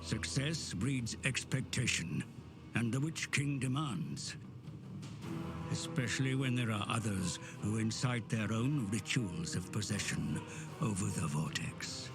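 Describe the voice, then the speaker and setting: A man giving grave, scripted narration for game cutscenes, slow and measured, dropping at times to a hushed whisper. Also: lowish voice, animated, over music. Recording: studio narration over loud orchestral score.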